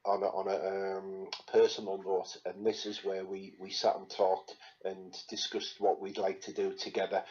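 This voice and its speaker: A man's voice: deep voice, normal speed, hardly any pauses, dull audio. A man hosting a live question-and-answer stream as a spirit medium, chatting casually with lots of fillers. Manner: relaxed, chatty, many fillers.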